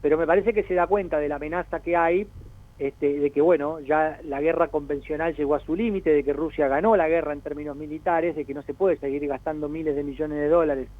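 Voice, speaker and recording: Higher-voiced Argentine man on a phone line. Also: fairly even intonation, a little fast. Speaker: radio interview guest, international affairs analyst. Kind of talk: spontaneous explanatory commentary. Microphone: telephone call. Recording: narrow tinny phone line.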